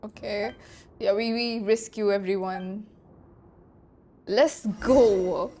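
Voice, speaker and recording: A woman's voice: medium pitch, steady tone, slow pace, mellow, a little hiss or hum. A woman streaming a game, giving casual live reaction commentary. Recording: game music behind the voice.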